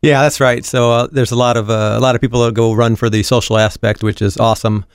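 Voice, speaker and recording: A man with a smooth, clear voice at an ordinary pitch, talking casually as a studio guest. He is measured and matter-of-fact, and the sound is close and dry. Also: flat delivery, dry recording. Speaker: show guest. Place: radio studio.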